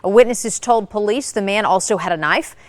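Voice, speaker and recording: A woman's voice: medium pitch, moderate pace, no echo. An American woman reading scripted television news as an anchor.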